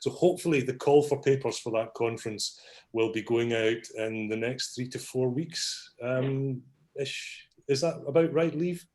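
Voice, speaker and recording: Man, meetup host, video call, casual, relaxed, lots of ums, mid pitch. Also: a little slow. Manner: relaxed, many ums, apologetic. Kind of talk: casual online meeting talk.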